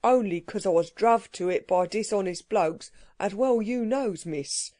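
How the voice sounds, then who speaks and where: A woman voicing a rough working-class male burglar, talking in slangy, grumbling dialect. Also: mid-range voice, a little slow, dark tone, no echo, natural voice. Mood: wary.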